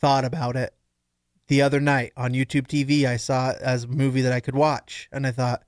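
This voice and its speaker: A man with a medium-pitched voice chats casually on a podcast. His remarks are brief and wry, and the sound is close and dry. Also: flat delivery, a little fast, clean tone, no echo. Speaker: podcast panelist. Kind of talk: casual chat.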